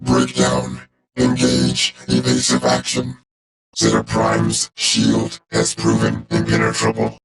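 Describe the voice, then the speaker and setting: A man voicing a cold, logical giant robot officer in a video game, with a gravelly synthetic monotone, a robotic filter and clipped, formal, deadpan delivery. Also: mid-range voice, low-quality recording. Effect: robotic vocoder filter. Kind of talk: video game character voice acting.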